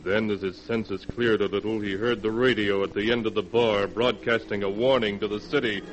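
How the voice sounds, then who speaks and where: A man's voice: lowish voice, fairly even intonation, normal speed, dull audio. A man narrating a 1940s radio drama in a dramatic, urgent manner. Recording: old radio recording with organ music.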